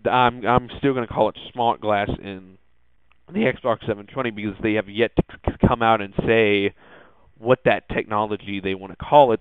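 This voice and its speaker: A man hosting a podcast with casual tech news commentary, speaking haltingly and often restarting his words. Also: medium pitch, smooth, no echo. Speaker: podcast host. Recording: thin phone-like lo-fi audio.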